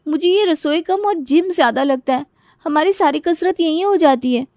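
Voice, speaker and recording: A woman's voice: high voice, moderate pace, darkish tone, no echo, clean studio sound, voice pushed up. A woman voicing a young, clumsy, whiny daughter-in-law in a storybook tale, complaining and exclaiming dramatically. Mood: weary complaining.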